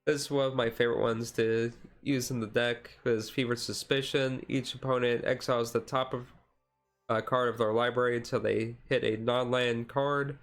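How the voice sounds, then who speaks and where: Guy streamer doing chill asmr card commentary, medium pitch, kinda rambly and stop-start. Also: flat delivery. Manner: rambling, halting explanation. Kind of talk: casual card deck review commentary.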